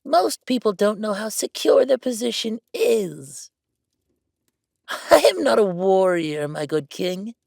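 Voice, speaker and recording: High-pitched, smug count. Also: male voice, big pitch swings, creaky, a little mellow, no room sound, studio quality, heavily acted. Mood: proudly assured. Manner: taunting, grandly self-assured, drawn-out phrasing. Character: bold, smug bookkeeper count, calculating and theatrical. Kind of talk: villain audio roleplay.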